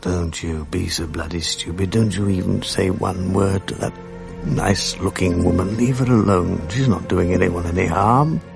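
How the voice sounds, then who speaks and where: A man's voice: sits low, normal speed, vocal fry, music in the background. An actor playing a world-weary, irritable, sardonic British man with a dry, literate manner in a radio drama. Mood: wry, reflective. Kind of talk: radio drama acting, intimate first-person narration. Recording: faint background noise, archive radio broadcast.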